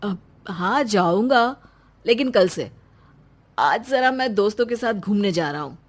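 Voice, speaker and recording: A woman's voice: medium pitch, expressive, measured pace. A woman voicing a lazy, carefree young husband in a story, casual and evasive.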